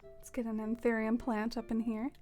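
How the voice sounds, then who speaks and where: A woman's voice: fairly high pitch, monotone, normal speed, dark tone, faint music behind. A young woman from the American South with a Southern accent, chatting casually and self-deprecatingly while streaming a game. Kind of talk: casual live-stream chat while gaming.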